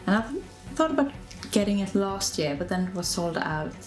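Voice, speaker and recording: A woman's voice: mid-range voice, big pitch swings, a little fast, echoey room, music in the background. A Swedish woman, whose first language is Swedish, chatting casually with a Swedish accent as she shows things to the camera, filling her talk with "like". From Sweden. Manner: chatty, fillers of "like", self-deprecating. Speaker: vlogger. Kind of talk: casual show-and-tell narration.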